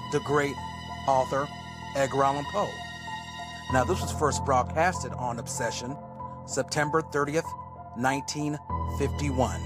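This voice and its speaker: A man hosting a podcast, introducing old radio plays casually in measured, halting short phrases. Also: medium pitch. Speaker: podcast host. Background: background music.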